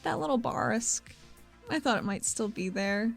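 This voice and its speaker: Female tabletop player, playful and breezy, fairly high voice, casual improvised roleplay over faint music. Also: lively intonation, normal speed, creaky.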